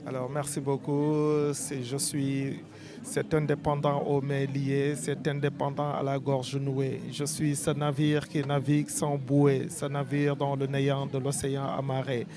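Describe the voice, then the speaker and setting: A man with a Senegalese French accent, interviewed at an outdoor event, speaking in an earnest, measured, rhetorical way. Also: mid-range voice, completely flat delivery. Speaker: artist interviewee. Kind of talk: street interview, spoken-word declamation. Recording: crowd noise behind.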